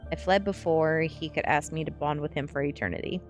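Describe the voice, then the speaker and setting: A woman's voice: low-pitched, a little fast. A woman streamer reading a gothic teen story aloud in an audiobook style of narration. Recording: faint music behind. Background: faint music.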